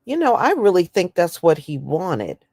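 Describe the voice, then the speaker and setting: A woman's voice: fairly low pitch, sing-song, moderate pace, dark tone, dry recording, clean studio sound. A woman with an American accent giving blunt, folksy, casual and opinionated commentary as a livestream host. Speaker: host of an online news commentary stream.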